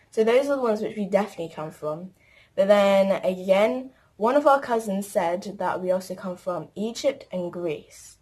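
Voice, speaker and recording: A woman's voice: mid-range voice, breathy edge, rough recording. Teen girl, casual rambling vlog delivery. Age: teens. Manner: chatty, rambling, lists things. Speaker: vlogger. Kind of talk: casual chat to camera.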